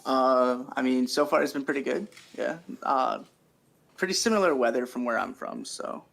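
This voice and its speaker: A man's voice: mid-range voice, moderate pace, gravelly, fairly bright tone. A man with a Canadian accent chatting casually as a podcast guest, hesitant and easygoing. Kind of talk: casual chat.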